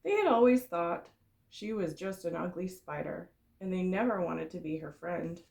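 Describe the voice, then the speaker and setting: Creaky-voiced woman reading a children's story. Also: fairly low pitch, moderate pace. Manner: expressive, explanatory storytelling for children. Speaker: kindergarten teacher reading a story aloud. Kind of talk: storybook read-aloud for young children.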